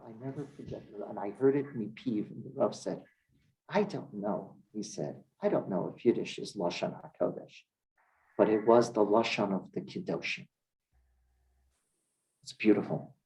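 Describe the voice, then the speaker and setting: A man from Cleveland, a rabbi teaching a congregation, who stresses key words and then drops his voice low. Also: normal speed, darkish tone, low-quality recording. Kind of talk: Torah class sermon. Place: synagogue hall with congregation.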